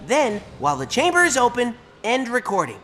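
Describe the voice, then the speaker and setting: An actor playing a small, earnest robot helper in a game, giving courteous step-by-step instructions. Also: male voice, very high voice, wildly swooping pitch, brisk, sharp tone, a little hiss or hum, full character voice. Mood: patient instruction. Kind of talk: game tutorial acting.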